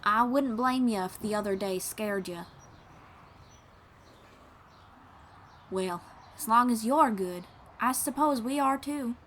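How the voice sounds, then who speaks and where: Woman acting a young friendly bard lady, folksy drawl, kinda high voice, encouraging riding teacher, audio roleplay, bit of noise behind. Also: normal speed, lightly acted. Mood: warm, welcoming. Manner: encouraging, reassuring, gently guiding.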